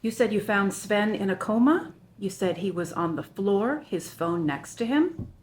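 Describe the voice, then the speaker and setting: A woman plays an earnest character who probes for the truth. Her mid-pitched voice delivers dramatic table-read lines in a room with strong echo. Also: lively intonation, a little slow, slight background noise, close to an everyday voice. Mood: probing. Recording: roomy echoing table-read recording.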